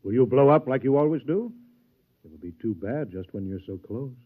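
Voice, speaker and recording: An actor playing a smug, taunting rival, a cool villain in a 1950s radio drama, sardonic and needling. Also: male voice, medium pitch, expressive, brisk, close to an everyday voice. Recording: tinny, noisy, clicky old radio recording.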